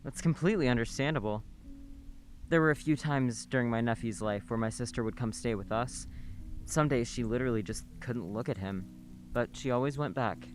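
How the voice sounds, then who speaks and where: An actor playing a polite, soft-spoken male nurse in a radio play, a middle-aged neighbor who talks matter-of-factly. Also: medium pitch, a little fast, lightly acted. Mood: calm, friendly. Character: gentle older male nurse neighbor, married, childless.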